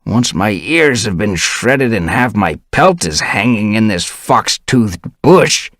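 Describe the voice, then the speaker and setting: Man voicing an old male cat elder, croaking, raspy, grumpy, slow drawl, dry close sound. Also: lowish voice, sing-song, fairly bright tone, no echo, pitched above the performer's own voice, exaggerated voice. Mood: irritated. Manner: grumbling, irritable.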